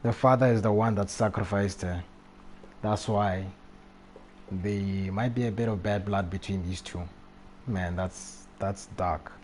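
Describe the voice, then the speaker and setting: A man live streaming a video game, reading text aloud and commenting casually in a halting way. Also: lowish voice, steady tone. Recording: faint background noise, game ambience.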